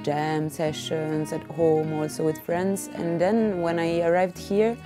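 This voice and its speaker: A woman's voice: lowish voice, level tone, a little slow. A woman from Italy, a native Italian speaker and band singer, talking casually in English with frequent fillers. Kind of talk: casual interview. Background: background guitar music.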